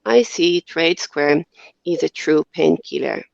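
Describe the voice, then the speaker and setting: A woman's voice: sits low, takes it slowly, creaky, darkish tone, no echo, lo-fi sound. A woman in her forties from Israel with an Israeli accent, a company head speaking earnestly in a podcast interview, with many fillers and restarts. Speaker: company CEO, guest.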